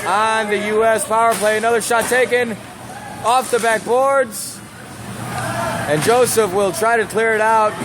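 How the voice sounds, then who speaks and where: A hoarse male play-by-play announcer with a high voice, calling a hockey game from a cramped booth. He keeps a brisk pace and bursts into shouts on key plays. A loud crowd and heavy arena noise fill the background. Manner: brisk, rising to excited shouts on big plays. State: hoarse from calling many games. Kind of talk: live sports play-by-play commentary. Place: small broadcast booth above an indoor rink.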